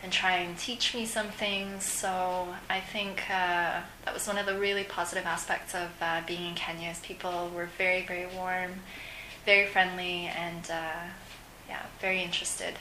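Woman, interview guest, conversational answers, echoey room, clear background noise. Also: on the low side, little pitch movement, normal speed, bright, forceful tone. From Canada. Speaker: interview guest, returned overseas volunteer.

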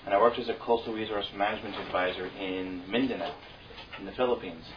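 A man's voice: on the low side, quick pace. A man telling an audience about his volunteer work, in an easygoing, plain-spoken way with fillers. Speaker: returned volunteer. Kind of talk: informal talk to an audience. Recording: echoey room, distant dull mic, noise.

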